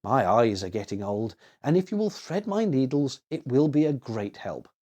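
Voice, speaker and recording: A man voicing an affectionate old woman, gentle and grandmotherly, in a children's storybook. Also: medium pitch, sing-song, normal speed. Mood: kindly. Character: kindly, affectionate old Mrs Claus.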